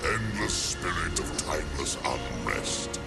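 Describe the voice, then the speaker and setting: An actor voicing an ancient, solemn otherworldly council lord in a video game, speaking in a gravelly, portentous and measured theatrical manner. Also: male voice, extremely low pitch, expressive, over loud music, heavily acted. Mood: solemn proclaiming. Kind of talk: dramatic scripted game narration.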